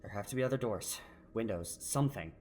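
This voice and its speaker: A man playing a determined, steady young leader in an audio drama, speaking in a hushed, urgent way. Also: fairly low pitch, brisk, crowd noise, close to an everyday voice. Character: determined young man leading trapped friends, steady and reassuring. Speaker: voice actor in a fan audio drama. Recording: faint background noise.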